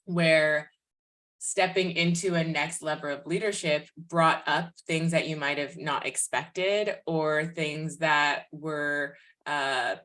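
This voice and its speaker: Woman podcast host, low register, very unhurried delivery, earnest and reflective. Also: fairly bright tone. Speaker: podcast host interviewing a guest. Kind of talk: reflective interview question.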